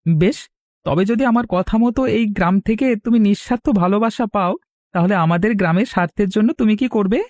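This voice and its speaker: A man's voice: high-pitched, quick pace, a little mellow, no room sound, clean studio sound, close to an everyday voice. A man voicing an eager, earnest young villager in a cartoon, proud and keen to please. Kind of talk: Bengali cartoon voice acting.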